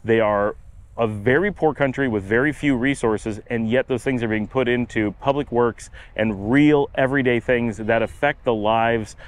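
A middle-aged man chatting as a travel vlogger, explaining things casually with lots of filler pauses while walking outdoors in a sunny park, camera at arm's length. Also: mid-range voice. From United States. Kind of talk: casual walking vlog narration. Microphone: camera held at arm's length.

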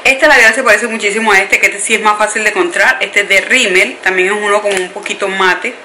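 A chatty woman with a Colombian Caribbean coast accent talks straight to camera from a room at home. Her voice is very bright and sharp. The room sounds echoey, with a faint hiss behind her. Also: medium pitch, quick pace. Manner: chatty, warm address to viewers as "bellezas", emphatic questions. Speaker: beauty vlogger. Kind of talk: casual chat to camera. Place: home room with shelves and clothes rack, indoors. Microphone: camera in front at close range.

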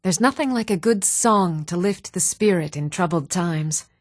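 Even-toned townswoman, very dry studio sound. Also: lowish voice, dry recording. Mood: gossipy. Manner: even-toned, measured, clearly enunciated. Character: even-toned adult townswoman of a fantasy realm. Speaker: video game voice actor. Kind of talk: scripted fantasy role-playing game dialogue. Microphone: close, dry studio microphone.

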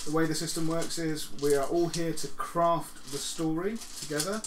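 A man introduces a tabletop game as its storyteller, speaking in measured, articulate and slightly formal sentences. He is in a living room on a shared mic, with clear noise and clicking around him. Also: on the high side, steady tone, a bit bright, roomy sound, rough recording. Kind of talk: explaining a tabletop game to viewers.

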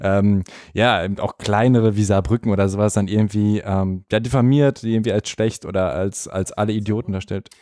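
A man's voice: fairly low pitch, fairly even intonation, brisk, hardly any pauses, a little mellow, no echo, studio quality. A young man from western Germany asking questions casually as a podcast interviewer. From North Rhine-Westphalia, Germany.

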